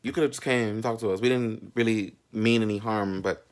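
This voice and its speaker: A man's voice: on the low side, fairly even intonation, a little fast. Sassy, chatty American man telling stories. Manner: sassy, gossipy, slangy and playful. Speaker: vlog host. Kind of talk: casual chatty storytelling to viewers.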